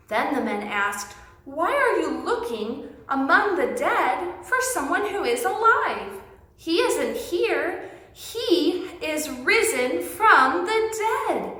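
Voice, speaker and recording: A woman with a high, bright voice tells a story to young children. Her delivery is very animated, with simple phrasing and emphatic words. The sound is roomy and echoey, as in a classroom. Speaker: children's Bible teacher and storyteller. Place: indoors in an empty classroom.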